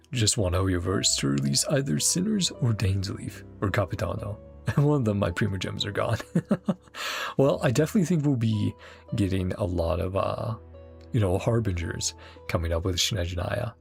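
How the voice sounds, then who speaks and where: Casual game-reaction streamer: mid-pitched, animated and gravelly, chatty and rambling, with game music faintly underneath. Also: moderate pace. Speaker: gaming streamer reacting to a story quest. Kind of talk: casual live game reaction commentary, partly reading dialogue aloud.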